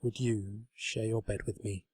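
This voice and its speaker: A man voicing a gentle, shy talking bedside table from a comic storybook, earnest, courtly and wistful in a formal way. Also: lowish voice, flat delivery, unhurried, breathy edge. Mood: tender, wistful. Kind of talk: comedic storybook reading.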